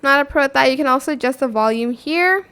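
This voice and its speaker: A woman's voice: high voice, fast talker, a bit bright. A woman hosting a casual how-to tutorial, explaining step by step in an informal, offhand way. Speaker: tutorial video host.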